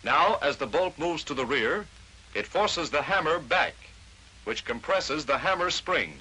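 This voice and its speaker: A man's voice: on the high side, animated, unhurried, bright, forceful tone, poor audio. An American man narrating a scripted 1940s instructional film, explaining step by step to the viewer on an old film soundtrack. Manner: clear step-by-step explanatory delivery, addressing the viewer. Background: voice-over on a training film.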